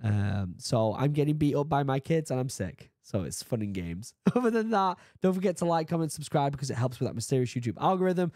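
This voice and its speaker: A man in his thirties from the UK, a bit hoarse from being sick, chatting casually as he reacts to a video and reads labels aloud, excited now and then. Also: medium pitch, brisk, few pauses, no room sound, studio quality. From United Kingdom. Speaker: YouTube reaction host. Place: home study with bookshelves. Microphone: studio mic on boom arm, headphones.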